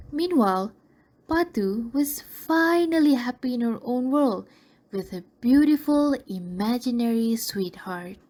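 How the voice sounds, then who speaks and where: A woman's voice: on the high side, darkish tone. A woman narrating a scripted radio drama in a storytelling style.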